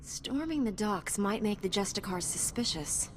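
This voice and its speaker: Actress as noble game knight, calm, earnest, formal, medium female pitch, reverberant, faint noise. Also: lightly acted. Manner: composed, measured, formal. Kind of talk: video game character dialogue. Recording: reverberant hall with background noise.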